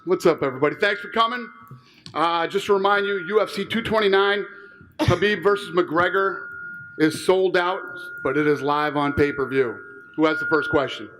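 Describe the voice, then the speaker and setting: A man's voice: sits high, normal speed, fairly bright tone, a little hiss or hum, low-quality recording. A man opening a press conference as promoter and answering plainly.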